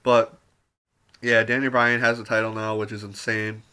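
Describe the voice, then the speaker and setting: A man with a lowish, bright and forceful voice talks through a review off the cuff, looping back on himself with ums and likes and dropping the odd swear. His throat is wearing out, so the tone turns slightly raspy and he coughs now and then. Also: little pitch movement, normal speed. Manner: rambling, filler-heavy, swears casually. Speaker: wrestling show reviewer. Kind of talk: casual unscripted review.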